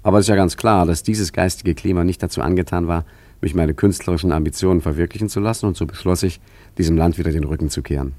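Radio drama lead: an ironic, philosophical charmer and art forger, low voice, musing and leisurely. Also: male voice, quick pace, darkish tone, dry recording. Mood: wry storytelling. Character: wry, roguish male art forger and painter, philosophical charmer. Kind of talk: German radio play acting and narration.

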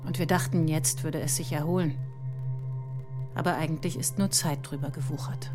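Casting: actress as imaginative, pensive female thinker, slightly low voice, deliberate poetic delivery, radio play with music. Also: steady tone, natural voice. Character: thoughtful, imaginative woman mathematician musing in metaphors. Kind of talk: literary audio drama monologue. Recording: music under the voice.

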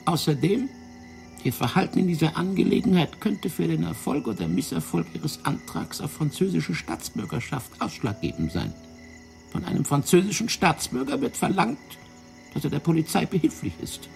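An actor in a German radio play playing a fat, wily, self-righteous French intelligence officer, explaining things in a theatrical, condescending way. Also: male voice, a little high, very animated, moderate pace, vocal fry, a little mellow, faint music behind. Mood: quietly threatening. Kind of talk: German radio drama acting.

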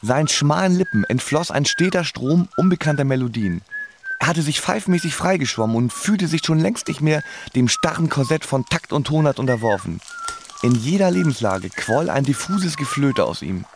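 A wry musician narrating a radio play about his own past. His male voice sits a little high, and his wording is ornate and mock-literary. Faint noise lies behind him. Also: animated, normal speed. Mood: wry, reflective.

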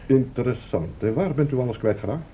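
A man's voice: mid-range voice, lively intonation, fairly quick, close to an everyday voice. An actor playing a drily sarcastic, officious and mock-polite motorcycle policeman in a Dutch radio play. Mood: officious, sceptical. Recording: tinny old radio recording, hissy noise.

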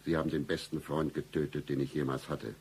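An actor playing a cynical, deadpan hard-boiled private detective in a satirical noir radio play, with a husky voice. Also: male voice, a little fast, exaggerated voice. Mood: grim, accusing. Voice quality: very deep, husky, gravelly. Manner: deadpan, accusing, melodramatic.

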